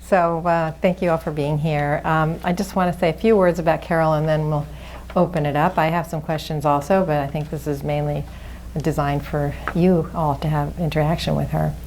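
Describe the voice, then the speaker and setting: A woman with a soft, low-pitched voice moderates a public event. She reads a formal introduction in measured, literary phrasing. A faint hiss of noise runs underneath. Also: fairly even intonation.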